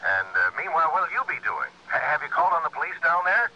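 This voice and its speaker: Sharp, swooping businessman on the phone. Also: on the high side, fast talker, lo-fi sound, slight background noise, full character voice. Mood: eager, inquisitive. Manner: animated, inquisitive, deliberating aloud. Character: fussy, eager insurance company man on the telephone. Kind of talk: 1960s radio drama acting. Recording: thin telephone voice on old radio recording.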